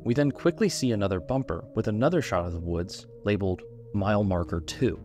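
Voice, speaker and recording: A man's voice: on the low side, brisk, darkish tone, soft background music. A man hosting a video essay, giving scripted narration and commentary.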